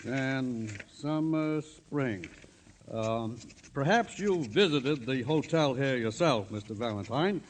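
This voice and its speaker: An actor playing a pompous, domineering, decisive banker and civic leader in a 1950s radio drama. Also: male voice, mid-range voice, lively intonation, slow pace, slight background noise. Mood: impatient.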